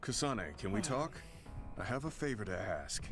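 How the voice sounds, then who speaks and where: A man voicing an earnest, reserved older soldier in a video game drama, speaking in a measured, sincere and formal way. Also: on the low side, big pitch swings. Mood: gentle, somber. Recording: loud music behind the voice. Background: background music.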